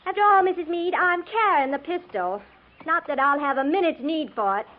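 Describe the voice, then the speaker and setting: An actress playing a proud, independent young frontier wife, guarded and stubborn, in a 1950s radio drama. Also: female voice, high voice, moderate pace, lightly acted. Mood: defiant. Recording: tinny, noisy 1950s radio recording.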